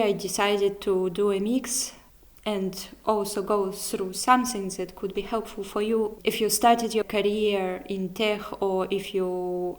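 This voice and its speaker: Young woman vlogger, mid pitch, just chatting casually and correcting herself. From Kazakhstan. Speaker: vlogger, software developer. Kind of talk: casual chat to viewers.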